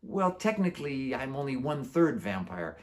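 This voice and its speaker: A man's voice: medium pitch, sing-song, normal speed, voice pushed up, natural voice. A man voicing a curious, earnest middle-school boy werewolf in a children's storybook, polite, questioning and a little hesitant. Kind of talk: children's book character voice.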